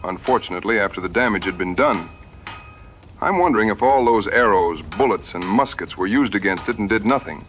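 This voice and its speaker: A seasoned frontier hunter, acted by a man in an audio drama. His voice sits on the low side with a clear forward edge. He lays things out calmly and with determination. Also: a little hiss or hum. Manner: measured, plain-spoken, determined.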